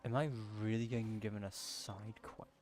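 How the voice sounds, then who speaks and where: A man's voice: fairly low pitch, steady tone, normal speed, darkish tone, faint music behind. A man giving casual commentary while playing a video game, muttering puzzled asides to himself. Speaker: gaming streamer.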